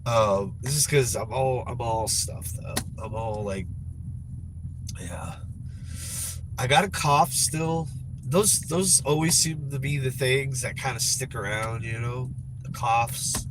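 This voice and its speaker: Man, congested nasal voice, rambling casual vlog, repeats himself, sighs, clear background noise. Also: medium pitch, slow. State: stuffed-up nose, congested. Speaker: vlogger.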